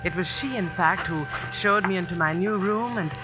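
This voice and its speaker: A woman's voice: fairly low pitch, normal speed, close to an everyday voice. A woman acting a young schoolteacher in a 1940s radio drama, speaking in a vivid, earnest way. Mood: wistful, reminiscent. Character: young woman teacher recalling her first school post. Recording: dull vintage radio recording with music.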